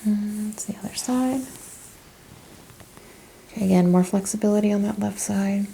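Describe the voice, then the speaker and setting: A soft-spoken woman doing an ASMR chiropractic roleplay, giving gentle clinical questions and step-by-step instructions, often dropping to a whisper. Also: medium pitch, normal speed, gravelly, a little hiss or hum, low-quality recording.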